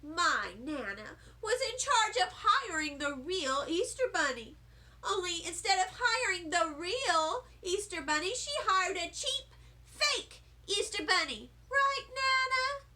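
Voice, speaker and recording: A woman's voice: very high voice, sing-song, takes it slowly, pushed and bright, pitched above the performer's own voice, heavily acted. A woman reading a children's audiobook as a huffy, spoiled little girl, scolding and emphatic. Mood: tattling. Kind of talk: children's audiobook read-aloud.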